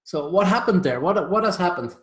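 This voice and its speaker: Man, interviewer, friendly chatty questions, slightly high voice. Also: animated, brisk. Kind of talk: conversational interview.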